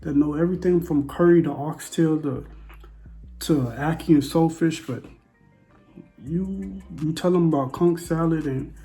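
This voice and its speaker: A man's voice: a little high, measured pace, dark tone, faint music behind, low-quality recording. A young Bahamian man with a mostly American accent, talking casually to camera and repeating his points for emphasis. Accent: American with Bahamian touches. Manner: repetitive, emphatic, restates points. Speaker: vlogger. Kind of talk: casual opinion monologue.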